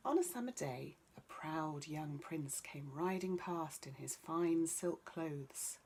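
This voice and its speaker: A woman's voice: sits low, expressive, takes it slowly, a little mellow, a little hiss or hum. A woman narrating a children's bedtime story as a storyteller.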